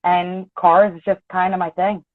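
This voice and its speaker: A woman's voice: lowish voice, little pitch movement, moderate pace, no echo. Philly-accented woman on a tinny call. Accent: Philadelphia with some North Jersey. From Philadelphia area, USA. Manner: chatty, laughs easily, blunt. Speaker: guest, auto body and paint tech. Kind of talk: casual interview chat. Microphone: video call. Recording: tinny dry call audio.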